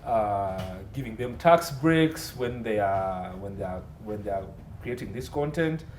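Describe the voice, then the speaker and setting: A man's voice: on the low side, lively intonation, measured pace, a little mellow. A Ugandan man speaking as a legal consultant in an interview, explaining points emphatically and laying them out in lists. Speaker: entertainment and media law consultant, interview guest. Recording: roomy echo, faint background noise.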